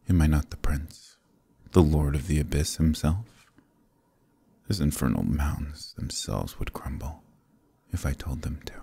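Brief: man playing a flirtatious demon prince, very deep slow murmur, husky breathy close-mic half-whisper, teasing and commanding. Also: warm tone, heavily acted. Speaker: voice actor in audio roleplay. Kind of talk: ASMR roleplay, close intimate murmur.

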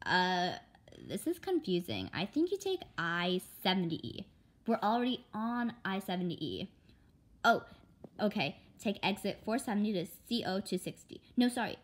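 A woman reading a comic story aloud, acting out the dialogue and speaking the sound words. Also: mid-range voice. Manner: animated, acts out characters' dialogue.

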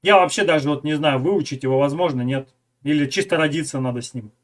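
Guy streamer w/ high voice cracking sarcastic jokes over a video. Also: quick pace. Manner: sarcastic, laughing asides. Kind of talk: mocking live commentary.

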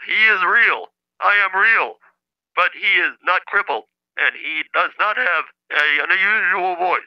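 Stilted, robotic, high-voiced phone caller. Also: male voice. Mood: offended. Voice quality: flat synthesizer-like delivery. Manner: stilted, halting, insistent. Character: indignant male caller claiming to be a famous scientist's brother, robotic-talking. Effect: telephone call. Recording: dull lo-fi phone line.

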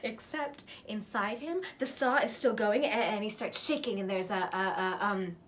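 An actress playing an excitable young woman who acts out a gory horror film in a theatrical monologue, halting and stammering with nervous laughter. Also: mid-range voice, slight background noise, natural voice. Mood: frantic, excited.

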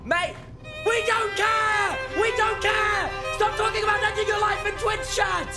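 A man, a streamer, yelling an exasperated rant in a played clip. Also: extremely high pitch, flat delivery, unhurried. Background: loud violin music.

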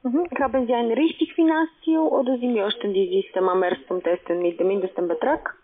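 High-pitched woman on a tinny phone line. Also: fairly even intonation, fairly quick. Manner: non-native German with broken grammar. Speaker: call centre agent, sales caller. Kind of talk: sales phone call. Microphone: telephone.

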